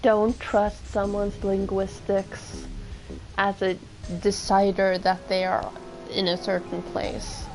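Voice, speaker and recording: A woman's voice: medium pitch, completely flat delivery, slow, a little mellow, soft background music. A woman whose first language is Swedish, casually chatting and thinking aloud while streaming a game. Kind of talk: casual let's-play commentary.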